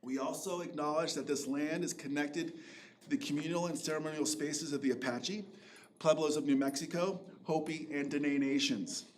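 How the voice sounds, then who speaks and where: Emcee reading formal opening remarks. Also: male voice, fairly high pitch, fairly even intonation, normal speed, slight background noise. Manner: read-out formal, then loose with fillers. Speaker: event emcee.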